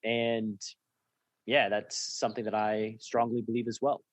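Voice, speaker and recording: A man hosting a podcast in a close, dry-sounding space. He asks question after question, keeps pressing why, and talks in a relaxed, conversational way. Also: on the low side, unhurried, dry recording. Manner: probing, keeps asking why. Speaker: podcast host. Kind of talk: conversational interview.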